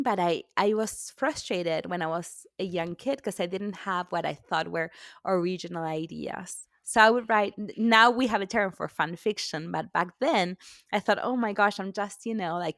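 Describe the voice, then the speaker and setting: A woman's voice: lowish voice, creaky, no room sound, studio quality. A middle-aged woman from Argentina, a native Spanish speaker, chatting as an author guest in a podcast interview, laughing often and restarting her sentences.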